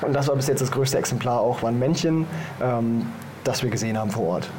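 A young man from Germany speaks at a middling pitch as an interview guest. He explains things informally and step by step, with some ambient noise around him. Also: fast, darkish tone. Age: young adult. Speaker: podcast guest, marine biologist. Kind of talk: casual explanatory interview answers.

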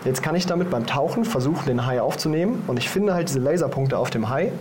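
A man's voice: medium pitch, animated, fast talker, darkish tone, slight background noise. A young man from Germany giving casual interview answers, explaining things informally step by step. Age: young adult. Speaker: podcast guest, marine biologist.

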